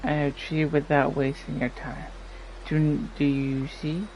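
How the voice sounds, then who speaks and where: Man, game streamer, unhurried halting delivery, casual, reads dialogue aloud, slightly raised pitch, light background noise. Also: flat delivery, darkish tone, low-quality recording.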